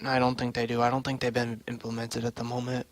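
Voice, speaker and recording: A man's voice: medium pitch, monotone, fast talker, no room sound. A man giving casual, unscripted game livestream commentary, thinking aloud in offhand short fragments. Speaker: livestream host and game developer.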